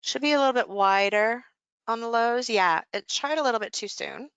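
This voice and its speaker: High-voiced woman, clear and crisp, coaching live. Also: moderate pace, fairly bright tone, no echo, lo-fi sound. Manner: conversational, explanatory, thinking aloud. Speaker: trading coach hosting a live session. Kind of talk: informal live chart commentary.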